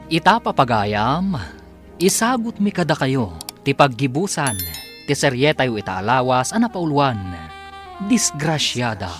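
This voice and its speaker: A radio drama announcer reading scripted introductions and credits in a theatrical, drawn-out way. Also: mid-range voice, big pitch swings, faint music behind.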